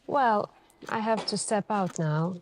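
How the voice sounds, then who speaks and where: Slow-talking woman as a probing detective, noisy recording. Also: medium pitch. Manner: deliberate, pausing, pointed questioning. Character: calm, suspicious, probing female police detective. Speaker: ASMR roleplay performer. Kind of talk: ASMR interrogation roleplay, slow deliberate delivery.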